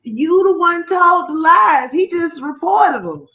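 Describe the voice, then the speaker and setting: A woman's voice: very high-pitched, expressive, slow, pushed and bright, lo-fi sound. A woman co-hosting a live stream, chatting casually in a commanding, lecturing tone. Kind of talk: casual commentary chat.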